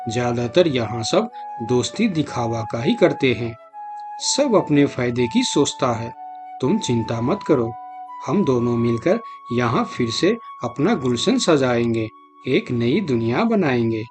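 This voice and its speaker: A man voicing a loyal, caring bear in an animated moral story, speaking earnestly and consolingly. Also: medium pitch, a little slow, over music.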